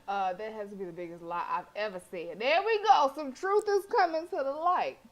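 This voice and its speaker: A woman's voice: on the high side, a little fast. A sassy, colloquial woman reading and reacting aloud as she streams a game. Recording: faint background noise.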